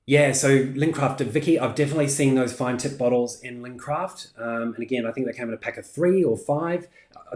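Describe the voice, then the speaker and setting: A man's voice: mid-range voice, darkish tone. A man in his forties with a New Zealand accent, hosting a casual craft livestream and chatting through viewer comments with plenty of ums. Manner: chatty, many ums, laughs easily. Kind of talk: casual live chat reading comments. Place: home craft room, indoors. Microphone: fixed camera at desk distance. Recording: echoey room.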